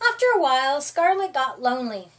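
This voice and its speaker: Woman reading a picture book, high lively voice. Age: middle-aged. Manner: expressive, deliberate storytelling for young children. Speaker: storyteller. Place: small room, indoors.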